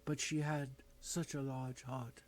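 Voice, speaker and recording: A man voicing a grave, soft-spoken ghost. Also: medium pitch, flat delivery, slow, lightly acted. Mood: solemn. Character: solemn ghost of the past, quiet and grave.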